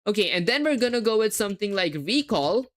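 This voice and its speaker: A woman's voice: mid-range voice, big pitch swings, fairly quick. A young woman with a hard-to-place hybrid accent, live coding and thinking aloud, spelling words letter by letter and chatting with viewers. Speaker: livestreaming coder.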